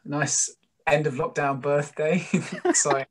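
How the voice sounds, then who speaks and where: A man's voice: on the high side, steady tone, a little slow. A British man in his early twenties, a musician being interviewed, chatting casually in a rambling, jokey, self-deprecating way. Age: early 20s. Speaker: guest, singer-songwriter musician.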